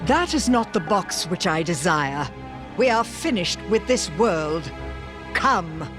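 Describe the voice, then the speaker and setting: A man's voice: sits high, big pitch swings, slow pace, exaggerated voice. A man voicing an imperious, short-tempered cartoon villain in a video game, pompous and formal as he gives orders. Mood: cold command. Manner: pompous, commanding, clipped formal diction. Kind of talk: theatrical video game voice acting. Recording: music behind the voice.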